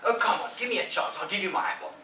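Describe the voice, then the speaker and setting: A man's voice: sits high, very fast, distant mic, noisy background, pitched above the performer's own voice, lightly acted. A man voicing a mocking boy bully a couple of years older than the hero, in an echoey room away from the mic. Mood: pleading. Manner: mocking, wheedling, loud.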